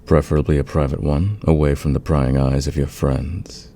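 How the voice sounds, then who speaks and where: A man playing a smitten, dominant fantasy villain in a romantic ASMR roleplay, speaking in an intimate, teasing, confident way with a gravelly, husky voice. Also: very deep voice, steady tone, normal speed, dark tone, slight background noise. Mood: confessional, seductive. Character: smitten male fantasy villain, patient, dominant, smoothly seductive.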